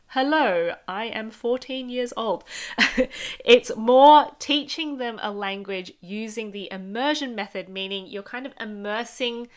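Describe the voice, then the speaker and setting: Australian woman, 30s, smooth clear voice, chatty upbeat solo podcast host. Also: mid-range voice, a bit bright. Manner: chatty, upbeat, speaks straight to the listener. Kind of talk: casual solo podcast monologue.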